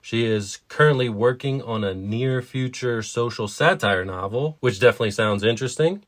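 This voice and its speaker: A man's voice: medium pitch, moderate pace, fairly bright tone. A man co-hosting a story podcast, chatting casually and giving introductions. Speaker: podcast co-host.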